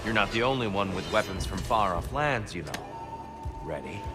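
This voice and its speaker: A man playing a calm, challenging warrior mentor in a video game, with measured, probing delivery. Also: lowish voice, close to an everyday voice. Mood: teasing challenge. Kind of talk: dramatic video game acting. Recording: loud music under the voice.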